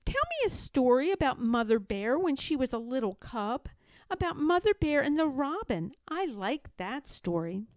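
A woman's voice: high voice, big pitch swings, moderate pace, no echo, pitched above the performer's own voice. A woman voicing an eager, affectionate little boy bear cub, polite and earnest, in a children's storybook.

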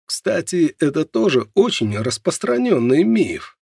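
A man reading an audiobook as a scripted narrator, in measured and clearly phrased delivery. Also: on the high side, sing-song, darkish tone, no room sound, clean studio sound.

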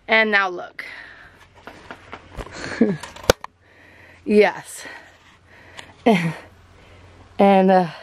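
Woman in her 30s vlogging at home, slightly high voice, rambly and self-deprecating, camera at arm's length. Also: fairly bright tone. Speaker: vlogger, teacher. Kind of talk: casual home vlog. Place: cluttered bedroom at home. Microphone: handheld camera at arm's length.

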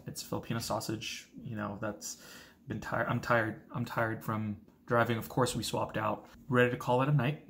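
A man's voice: medium pitch, level tone, normal speed, gravelly, fairly bright tone, slight background noise. A young man chatting casually and informally to the camera as a travel vlogger. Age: young adult. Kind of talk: casual vlog chat to camera. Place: living room, moving car, hotel room.

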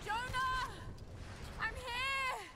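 A woman's voice: very high voice, fairly even intonation, drawn-out words, heavily acted. A woman playing a determined young mountain climber in a video game. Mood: urgent shouting. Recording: loud music over game audio. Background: loud game music.

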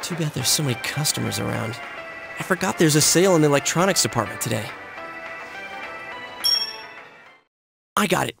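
A man's voice: on the high side, lively intonation, fast talker, creaky. A man voicing an earnest, casual teenage schoolboy with an American accent in an anime-style video game. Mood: brisk. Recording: game music and background crowd.

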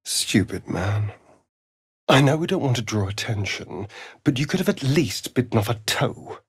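An actor with a British accent playing a sardonic, vain elven vampire rogue in a fantasy video game, with an arch, drawling, mocking delivery. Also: male voice, mid-range voice, big pitch swings, moderate pace, slightly rough, dry recording, clean studio sound, exaggerated voice.

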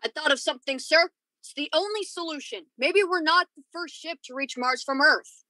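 A woman playing an eager young crew member in a space radio drama. The voice is high and bright, earnest and excitable, recorded dry. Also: moderate pace, no room sound, rough recording. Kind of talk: radio play acting.

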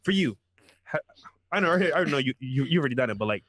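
A man hosting a podcast panel, asking guests probing questions quickly and stumbling over his words when cutting in. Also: medium pitch, animated, a bit bright. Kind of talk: casual panel talk. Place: podcast studio. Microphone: studio mic with headphones.

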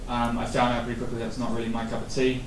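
A man's voice: mid-range voice, completely flat delivery, racing. Casual male guest speaker in his thirties, rambling answers, distant mic in a noisy, reverberant venue. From New Zealand. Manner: rambling with many fillers and restarts. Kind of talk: casual interview answers at a meetup. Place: event venue. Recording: echoey room from far off.

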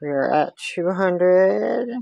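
A woman's voice: on the low side, animated, takes it slowly, vocal fry, no echo, lo-fi sound. A woman walking through a recipe step by step in her home kitchen, chatting as she stirs. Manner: chatty step-by-step explaining while stirring. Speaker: home cook. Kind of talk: casual cooking demonstration. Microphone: camera on counter.

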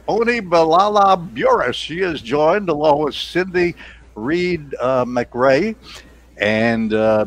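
Older american guy hosting, rambling old stories w little chuckles, some noise on the call. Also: mid-range voice. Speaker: radio show host. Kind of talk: casual reminiscing chat. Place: indoor home studio on a video call. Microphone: headset mic. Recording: noisy home video-call audio.

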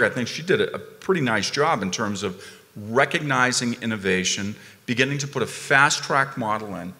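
A man speaking on a conference panel, emphatic and animated, repeating phrases as he builds a point. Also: mid-range voice, in a large hall. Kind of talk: panel discussion. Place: conference ballroom stage.

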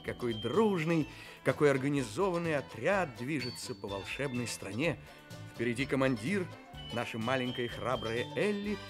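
A man's voice: medium pitch, natural voice. A man playing a kindly, playful storyteller in a children's radio play, theatrical and coaxing. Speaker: narrator.

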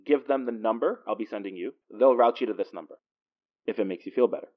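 A man's voice: on the low side, quick pace, highs cut off, lightly acted. A man playing a confident, wisecracking police detective in an audio drama, with a dry, teasing, natural delivery. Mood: brisk, dry.

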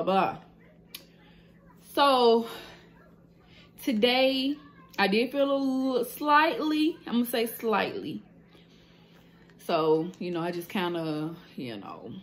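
Woman in her late twenties, candid, slangy, chatty vlog to a phone, small room. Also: mid-range voice. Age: 20s. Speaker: nail business owner and vlogger. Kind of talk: casual vlog chat to camera.

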